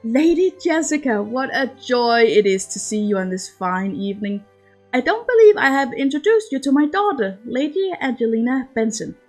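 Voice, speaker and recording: Woman doing a posh-mannered society mom, formal, gushing, high pitch, period story. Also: big pitch swings, normal speed, darkish tone, faint music behind. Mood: gracious, eager. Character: gracious, proud society mother of a debutante.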